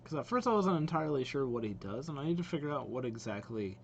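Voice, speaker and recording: A man's voice: mid-range voice, very animated, fast, highs cut off, a little hiss or hum. A young man hosting a reaction video, musing aloud in casual, informal commentary and often repeating his exclamations.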